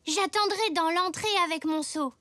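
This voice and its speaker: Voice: extremely high pitch, moderate pace, bright, forceful tone, dry recording, clean studio sound. A performer voicing an eager, earnest little zebra child in a French cartoon, with an enthusiastic, childlike delivery. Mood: earnest, confident.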